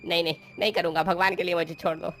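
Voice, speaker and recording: A man's voice: a little high, little pitch movement, rapid-fire, a bit bright, soft background music, natural voice. A man playing a greedy, cowardly burglar in a moral story. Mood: begging. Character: greedy house burglar, threatening but cowardly. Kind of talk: acted Hindi moral story dialogue.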